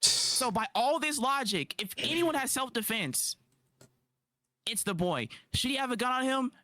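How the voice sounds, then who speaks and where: A man doing casual livestream reaction commentary, incredulous and quick to rebut, on a close mic. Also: very high voice, dry recording. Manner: animated, incredulous, rapid rebuttals.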